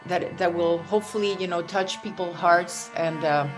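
A woman's voice: on the low side, fairly even intonation, moderate pace, music in the background. A woman from Venezuela, a singer and bandleader, speaking earnestly in a radio interview, often restarting and saying "you know".

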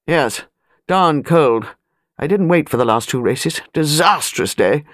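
A woman's voice: low voice, expressive, measured pace, no echo, clean studio sound. Woman playing a terse, soldierly English colonel. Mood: terse, grumbling. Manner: clipped, terse.

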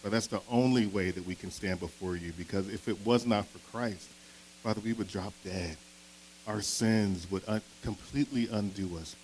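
A fairly low-voiced man preaches a sermon in an earnest, conversational manner. He often checks in with his listeners through quick tag questions. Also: darkish tone, a little hiss or hum, low-quality recording. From Philadelphia. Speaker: pastor. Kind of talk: sermon with opening prayer.

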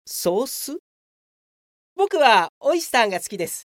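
A woman's voice: a little high, big pitch swings, measured pace, dry recording, studio quality. A woman playing a cocky, clueless young male office worker in a comedic radio drama, theatrical and self-satisfied. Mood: smug.

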